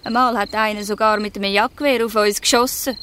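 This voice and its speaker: A woman with a Swiss German accent playing a bold, bossy teenage girl gang leader in a radio play, brisk and commanding. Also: mid-range voice, steady tone, a bit bright, slight background noise, natural voice. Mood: matter-of-fact. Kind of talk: radio play acting in dialect.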